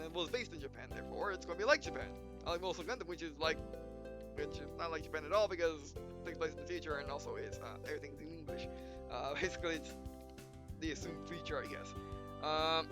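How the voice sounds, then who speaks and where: Male let's-play host, high-pitched, loose and rambling, with game music playing underneath. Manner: rambling, restarts phrases often. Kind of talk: casual game commentary.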